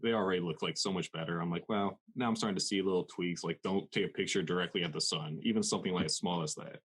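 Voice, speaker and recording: A man's voice: low-pitched, fast, a bit bright, breathy edge. A male podcast host chatting casually into a studio mic, laughing often and saying "like" a lot. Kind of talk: casual interview chat. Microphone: dynamic studio microphone.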